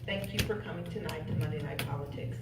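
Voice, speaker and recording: A woman hosting a political forum, making brisk, formal announcements to the room. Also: low voice. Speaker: event host. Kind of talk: event announcements. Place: community hall. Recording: echoey hall mic from a distance, light noise.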